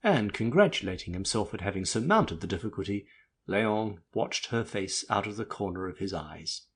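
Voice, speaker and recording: A man narrating classic literature as an audiobook, with a husky voice and measured storytelling manner. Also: lowish voice, expressive, fairly bright tone.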